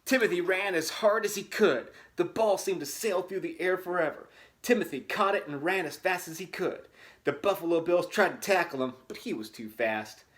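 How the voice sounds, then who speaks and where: A man's voice: sits high, animated, normal speed, a bit bright. A man reading a storybook dramatically, breaking off for wry, tongue-in-cheek casual commentary. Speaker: host reading a children's book aloud with asides.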